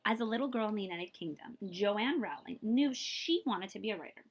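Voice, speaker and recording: Woman reading aloud with very lively intonation. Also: medium pitch, brisk. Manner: expressive, emphatic storytelling with brief asides. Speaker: librarian reading a book aloud. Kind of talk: read-aloud of a children's picture book.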